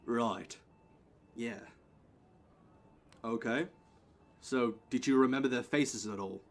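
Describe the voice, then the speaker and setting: A man's voice: mid-range voice, slow pace, voice pushed down, close to an everyday voice. A man playing a blunt, firm grey-haired security guard and mentor in an audio play. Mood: questioning.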